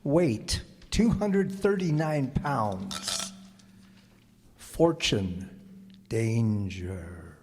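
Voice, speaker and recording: An actor playing a dramatic announcer of a 1940s radio detective show, speaking in portentous, clipped phrases. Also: male voice, mid-range voice, sing-song, extremely slow, long pauses, background noise, heavily acted. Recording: echoey hall, music behind.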